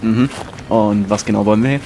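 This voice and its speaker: A man's voice: on the low side, fairly even intonation, fairly quick, noisy background. A young man in scripted comic audio drama dialogue, with a grumbling, sarcastic manner. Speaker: performer playing himself in audio drama. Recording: music bed behind the voice.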